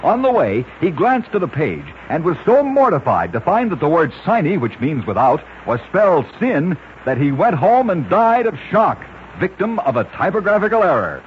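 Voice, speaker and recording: A man narrates in a brisk, old-time radio announcer manner, his voice pitched a little high and swinging widely up and down. The recording is muffled and lo-fi, with faint hiss underneath. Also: big pitch swings. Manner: brisk announcer delivery of odd facts.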